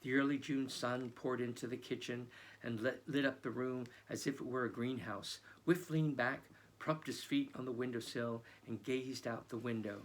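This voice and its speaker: A male children's book author reading his own novel aloud in an expressive storytelling manner. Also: medium pitch, moderate pace, slight background noise, poor audio.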